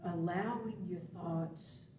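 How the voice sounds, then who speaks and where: A woman instructor leading a guided meditation, giving instructions in short phrases with long pauses between them. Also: lowish voice. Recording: roomy, distant, dull, faintly noisy.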